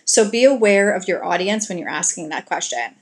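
A woman's voice: fairly low pitch, lively intonation, brisk. Earnest woman coaching on relationships. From grew up in a Greek family. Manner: earnest, gently coaching, acts out sweet example lines. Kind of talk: advice talk to an audience.

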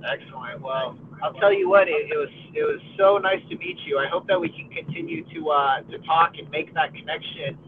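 A young American college student and podcast co-host chatting casually in a friendly, upbeat way, with many fillers and restarts. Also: male voice, on the high side, a little fast, few pauses, narrow, tinny audio, slight background noise. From United States. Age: college age. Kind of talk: casual conversation.

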